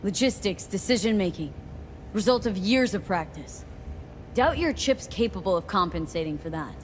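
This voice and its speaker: A woman's voice: medium pitch, lively intonation, moderate pace, slight background noise, lightly acted. An actress playing a streetwise, dry-witted female mercenary in a video game, talking in a cool, wry, casual way. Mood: probing, skeptical. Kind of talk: video game cutscene acting.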